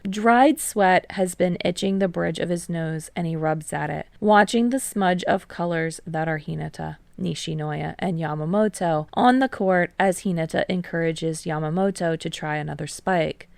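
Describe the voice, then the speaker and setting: A woman's voice: lowish voice, moderate pace, gravelly, few pauses, a little mellow, no room sound. A woman reading a story aloud as scripted audiobook-style narration. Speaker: fan fiction reader.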